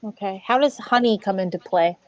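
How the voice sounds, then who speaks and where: A woman's voice: medium pitch, brisk, noisy background. A woman playing an online tabletop game, chatting casually as a player.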